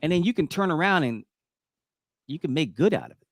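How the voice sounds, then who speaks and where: A man in his fifties hosts a faith-based talk show with a slightly high voice. He speaks emphatically and conversationally, circling back and checking in often. The sound is very dry. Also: very animated, fairly quick, no echo. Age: 50s. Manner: emphatic, repetitive, checks in with "right?". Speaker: show host.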